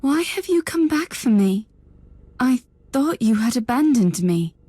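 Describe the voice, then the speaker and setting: A troubled young psychic outcast in a dark sci-fi game. Her voice sits fairly high yet is mellow and raspy at the edges. She is wary and brooding, with light music behind her. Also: big pitch swings, unhurried, no room sound, full character voice. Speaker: game character. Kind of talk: dramatic video game cutscene acting.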